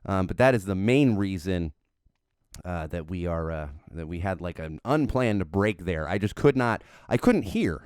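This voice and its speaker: A man hosts his podcast alone, his low voice slightly nasal from an ear infection. He talks in a loose, candid ramble, stopping to correct himself. The sound is smooth and dry, close on a studio mic. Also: lively intonation, measured pace, darkish tone, no room sound. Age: adult, married father. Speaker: podcast host. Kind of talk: casual solo podcast talk. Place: home studio. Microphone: studio microphone with headphones.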